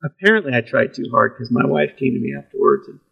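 A man's voice: mid-range voice, animated, fast talker, gravelly, slightly rough, lo-fi sound. A pastor, a man, telling candid stories about his preaching in a self-deprecating way, laughing easily. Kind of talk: candid conversational storytelling.

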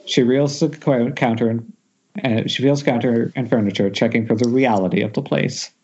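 A man narrates screenplay directions in a mellow, somewhat raspy mid-range voice, occasionally tripping on words. It sounds dull, as if over an internet call. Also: mid-range voice, steady tone, moderate pace. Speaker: narrator reading stage directions. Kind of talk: screenplay table read. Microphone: Skype call.

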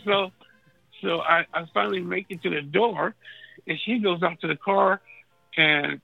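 A man with a raspy voice, thirsty and unfed in a hospital room, telling a story in a laid-back, slangy way. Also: high-pitched, a little slow, dry recording, muffled recording, soft background music. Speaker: guest calling in from a hospital bed. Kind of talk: casual storytelling chat.

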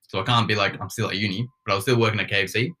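A man in his twenties talks loosely with friends, his voice sitting a little low yet bright and ringing. He rambles, trails off and pokes fun at himself in easygoing chat. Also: steady tone, rapid-fire. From Nepal. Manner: rambling, self-deprecating, trails off. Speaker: podcast co-host. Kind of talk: casual chat.

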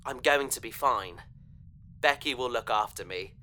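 A man's voice: medium pitch, normal speed, pushed and bright, lightly acted. A man playing an earnest, hesitant young space adventurer in an audio drama. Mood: earnest, hopeful.

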